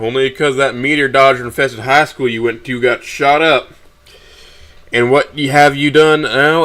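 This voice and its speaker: A man's voice: mid-range voice, bright, forceful tone, clear, resonant tone. A man voicing a cruel middle-aged father in an audio story, scolding his son with venomous, dismissive contempt.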